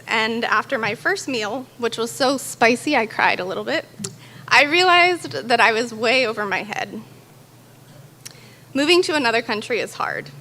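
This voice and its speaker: Young woman, staff testimony speaker, earnest and emphatic, higher voice. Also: sharp tone, slight background noise. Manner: earnest, builds to emphatic declarations. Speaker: college staff member giving testimony. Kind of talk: prepared personal testimony talk.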